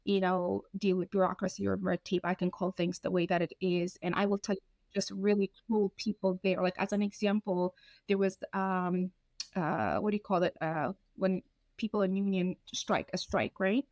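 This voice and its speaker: Frank, animated woman from Ethiopia. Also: mid-range voice, moderate pace, dry recording. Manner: frank, animated, acts out quoted dialogue. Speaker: guest. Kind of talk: candid interview storytelling.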